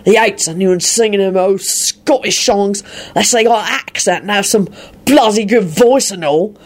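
A woman voicing a rough-spoken man in a story, giving slangy warnings in a hiss. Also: medium pitch, moderate pace, lightly acted. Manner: hissing, ungrammatical.

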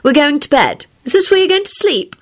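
A woman's voice: high voice, big pitch swings, a little fast, voice pushed up. A woman voicing a demanding, sulky drunk young man who bellows and breaks into sing-song in a storybook reading.

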